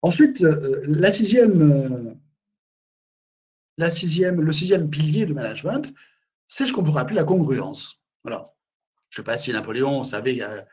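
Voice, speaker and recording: A man with a slightly high, husky voice talks on a video call as a webinar presenter. He is emphatic, stumbles and repeats words, and often tags his sentences with filler phrases. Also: sing-song, moderate pace, warm tone. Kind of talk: live webinar talk on management.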